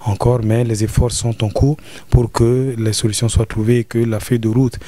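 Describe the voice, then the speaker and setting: A man from the Central African Republic giving a formal, halting answer full of fillers. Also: medium pitch, flat delivery, mellow, dry recording. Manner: halting, many "euh" fillers, measured. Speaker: official speaking on the country's situation. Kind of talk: formal address with hesitations.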